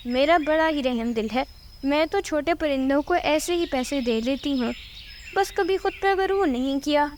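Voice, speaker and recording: Woman voicing a haughty high-pitched cartoon crow. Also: moderate pace, a little hiss or hum, lightly acted. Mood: gloating, mocking. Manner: boastful, mocking. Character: haughty, spiteful female crow wife, boastful schemer. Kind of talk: Hindi cartoon voice acting.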